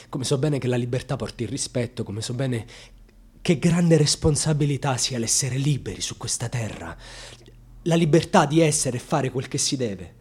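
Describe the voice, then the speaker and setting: Proud, hurt grandson, radio drama monologue; husky, highly dynamic delivery from hushed confiding to fierce. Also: male voice. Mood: intimate, reflective.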